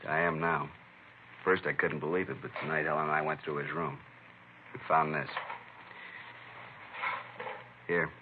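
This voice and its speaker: A helpless, distraught American father in a vintage radio drama. His voice is very low and his speech halting and troubled. The recording is muffled, with faint noise. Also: fairly even intonation. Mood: bitter, upset. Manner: halting, upset. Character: distraught middle-aged father, anguished and helpless. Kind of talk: 1950s radio drama acting.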